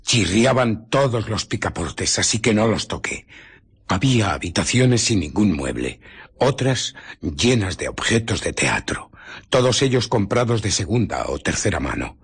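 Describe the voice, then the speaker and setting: An actor playing a ruthless, irritable fugitive scientist in a radio drama, husky and often dropping to a whisper as he recounts and justifies his deeds. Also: on the low side, big pitch swings, a little slow, full character voice. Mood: brooding recollection. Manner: dramatic, brooding, self-justifying. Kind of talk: radio drama narration and dialogue.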